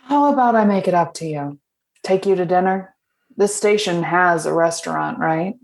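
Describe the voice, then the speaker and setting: A woman's voice: fairly low pitch, normal speed, vocal fry. A woman acting a teasing, guarded space officer with a dry manner in an audio drama. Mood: sincere, confident.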